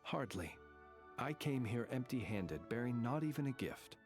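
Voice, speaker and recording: An actor playing a courteous, solemn gentleman in a video game, speaking in formal, ornate and measured phrases. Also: mid-range voice, expressive, lightly acted. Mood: courteous, gracious. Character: courteous, solemn gentleman who lived alone in a cemetery. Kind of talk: scripted video game character dialogue. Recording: game music behind the voice.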